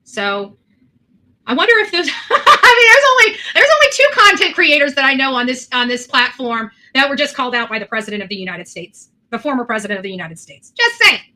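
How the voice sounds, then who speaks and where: Bright, high-voiced woman livestreaming. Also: very animated, fairly quick. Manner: proud, defensive, repeats herself. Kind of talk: rambling livestream chat.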